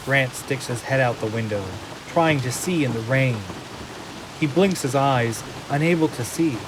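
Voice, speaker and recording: A man narrating an audio drama from a script in a deliberate, suspenseful way. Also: medium pitch, background noise. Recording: loud rain and storm effects behind.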